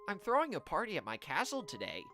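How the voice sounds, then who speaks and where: A man's voice: high voice, huge pitch swings, fast, pushed and bright, faint music behind, pitched above the performer's own voice, full character voice. A man voicing a gracious, sweet young video game princess in a dainty, polite manner.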